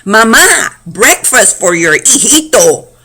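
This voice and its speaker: A woman's voice: a little high, sing-song, slow pace, pushed and bright, slightly rough, pitched above the performer's own voice, exaggerated voice. A woman voicing a tall grown man who teases his mother playfully. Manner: playful, teasing.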